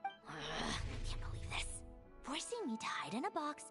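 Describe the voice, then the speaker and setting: A woman's voice: on the high side, wildly swooping pitch, normal speed, over music. A woman playing a haughty, indignant young woman who grumbles with exasperation, in a video game. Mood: exasperated.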